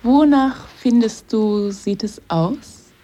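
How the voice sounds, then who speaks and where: A woman's voice: a little high, takes it slowly, warm tone, rough recording. A woman playing a hard, guarded young woman in a radio drama, with curt, laconic delivery. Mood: cool, dismissive.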